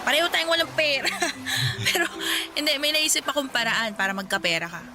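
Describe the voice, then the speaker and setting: An actress playing a playful, scheming, upbeat young friend in a radio drama, with a teasing, breezy manner. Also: female voice, high voice, very animated, fairly quick, over music. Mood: teasing, confident.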